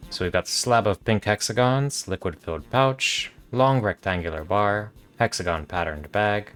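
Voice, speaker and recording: A man reading video game dialogue aloud in a monotonous voice, with casual asides. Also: lowish voice, moderate pace, faint music behind.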